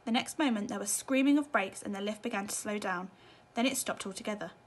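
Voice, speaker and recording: A woman's voice: mid-range voice, fast talker, breathy edge. A woman narrating a children's storybook expressively, as a teacher reading at bedtime.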